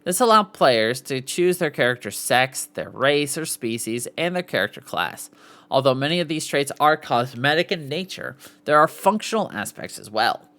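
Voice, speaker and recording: Podcast host reading aloud with lively pitch swings. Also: normal speed. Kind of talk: reading an article aloud with brief asides.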